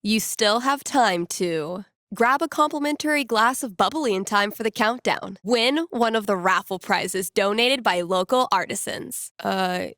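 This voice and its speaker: A young small-town radio DJ from a video game, with an everyday medium-pitched voice in a very dry sound. She is wry and playful, chatting to her listeners in a conversational, teasing way. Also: fairly bright tone, dry recording. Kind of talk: casual local radio patter in a video game.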